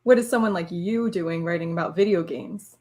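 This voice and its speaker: A woman's voice: lowish voice, fairly quick, darkish tone, natural voice. A woman voicing a smug, lecherous male boss with a pompous, dismissive manner in an amateur dramatic reading. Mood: dismissive, breezy.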